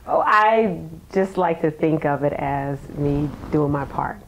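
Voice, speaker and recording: Low, gravelly woman trainer in her forties. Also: expressive, slow, low-quality recording. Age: 40s. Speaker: fitness trainer. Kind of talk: guest interview.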